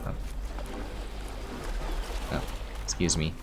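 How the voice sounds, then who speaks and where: A man's voice: very slow, background noise. A man giving casual gameplay commentary, thinking aloud and trailing off, with game music behind him. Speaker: game streamer. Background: video game music.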